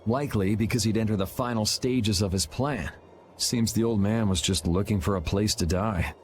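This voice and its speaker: A man's voice: fairly low pitch, normal speed, slight background noise, close to an everyday voice. An actor playing a grim, blunt young swordsman in a video game cutscene.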